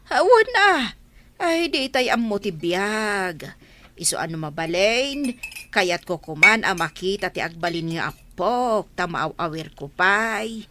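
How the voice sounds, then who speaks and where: Animated older mother. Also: mid-range voice, unhurried, a little hiss or hum. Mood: pleading. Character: aging, pleading mother longing for grandchildren. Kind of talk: Ilocano radio drama acting.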